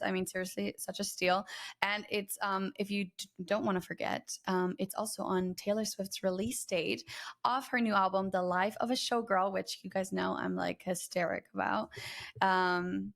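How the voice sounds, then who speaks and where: A woman hosting a trade podcast, chatty and rambling with many fillers, her voice slightly raspy from a recent illness. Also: medium pitch, fairly bright tone. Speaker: podcast host. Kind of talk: casual podcast intro.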